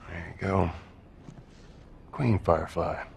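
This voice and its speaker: Very deep, gruff male smuggler. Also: slow, darkish tone, a little hiss or hum, heavily acted. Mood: dry, sceptical. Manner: terse, dry. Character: gruff, sceptical, taciturn middle-aged male smuggler. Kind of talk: naturalistic video game cutscene acting.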